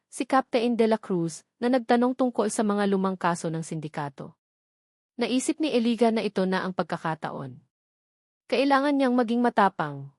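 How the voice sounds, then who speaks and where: A woman's voice: mid-range voice, darkish tone, no echo. Woman narrating a dramatic story, very dry sound.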